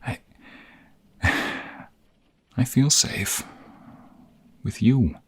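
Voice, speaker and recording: A man playing a tender, protective young mobster in an intimate ASMR romance roleplay, speaking softly and haltingly in a husky, gravelly, breathy voice. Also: medium pitch, heavily acted. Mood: vulnerable, loving. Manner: soft, halting, gentle, intimate.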